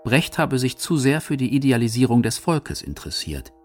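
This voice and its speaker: A man's voice: mid-range voice, big pitch swings, moderate pace, darkish tone. A man reading scripted narration for a radio feature. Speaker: documentary narrator. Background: music.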